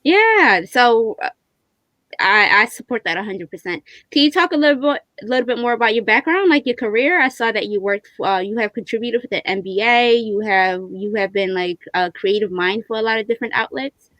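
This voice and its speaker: A woman's voice: on the high side, a little fast, a bit bright, no room sound. A woman hosting a casual conversational interview, speaking in an encouraging, affirming way. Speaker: interviewer hosting an online read-along.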